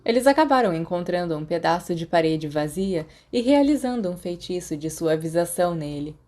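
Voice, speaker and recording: Brazilian lady reading an audiobook story, lowish voice, dark mellow tone. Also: expressive, brisk. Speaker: podfic narrator. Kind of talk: scripted fiction narration.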